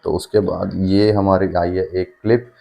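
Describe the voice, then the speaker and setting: A man's voice: sits low, little pitch movement, moderate pace, gravelly, warm tone, faint music behind. A man giving a casual hands-on product demonstration, chatty and informal, talking straight to viewers like a vlogger. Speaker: motorcycle vlogger and product reviewer.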